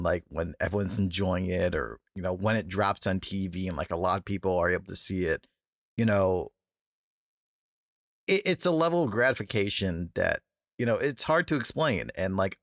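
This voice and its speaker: A man's voice: sits low, dry recording, clean studio sound. A man from Atlanta hosting a podcast interview, talking in an easygoing way with many fillers and easy laughs. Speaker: podcast host. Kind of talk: casual interview chat.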